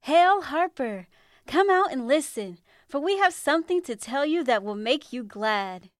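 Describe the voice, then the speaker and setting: A woman's voice: high voice, lively intonation, a little slow, no room sound, studio quality, pitched above the performer's own voice. A woman voicing eager royal messengers in a storybook, calling out in a ringing, formal singsong. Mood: excited hailing.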